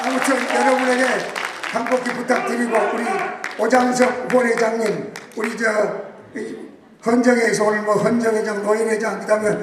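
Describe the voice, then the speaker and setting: A man giving a rousing congratulatory speech to an audience, shouting his points emphatically. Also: very high-pitched, flat delivery, normal speed, heavy background noise. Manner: emphatic, shouting slogans to the crowd. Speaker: guest speaker. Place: large banquet hall with audience. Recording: very echoey hall, distant mic, crowd behind.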